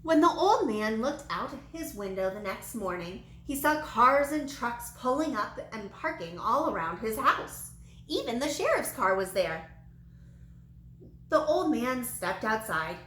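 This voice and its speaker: A woman's voice: on the high side, big pitch swings, unhurried, fairly bright tone. A woman reading a children's story aloud, as a teacher would to young listeners. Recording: echoey room with light background noise.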